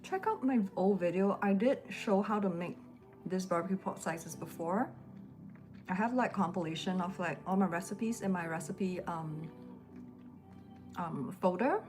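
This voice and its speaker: A woman chatting casually on a livestream from home as a home cook, rambling in a relaxed way while she packs and snacks. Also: fairly low pitch, measured pace. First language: English. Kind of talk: casual live-stream chat. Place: home, indoors. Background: lo-fi background music.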